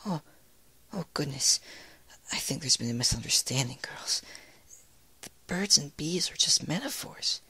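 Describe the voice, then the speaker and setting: Timid female pegasus for a pony story, played by a man: breathy, hesitant, raised pitch. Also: animated, measured pace, pauses often, bright, forceful tone, dry recording, whispered, full character voice. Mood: flustered, hesitant. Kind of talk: fan fiction read aloud in character.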